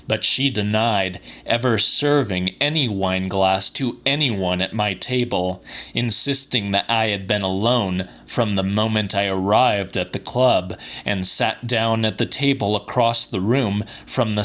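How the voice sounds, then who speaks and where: A man giving a deliberate, theatrical dramatic reading of literary weird fiction as an audiobook narrator. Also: fairly low pitch, a bit bright.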